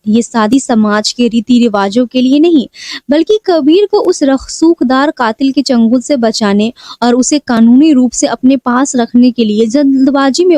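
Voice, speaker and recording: A woman tells a scripted dramatic story in a high voice. The tone is mellow and rounded rather than sharp. The sound is dry and close, with no room around it. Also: no room sound.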